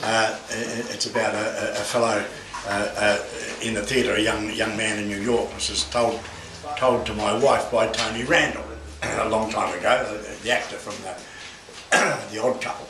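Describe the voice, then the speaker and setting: Older aussie bloke rambling through a speech, stops and restarts a lot, sounds far off in an echoey room with noise. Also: male voice, on the low side, fairly even intonation. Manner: halting, full of restarts, bawdy asides. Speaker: guest speaker opening an art exhibition. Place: gallery with a crowd.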